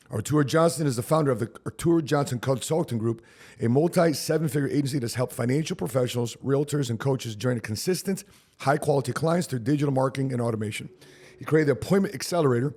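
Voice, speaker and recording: A man with a husky voice hosting a podcast interview in a punchy, slangy way. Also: medium pitch, darkish tone. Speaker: podcast host and insurance agency founder. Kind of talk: hyped podcast introduction and casual interview.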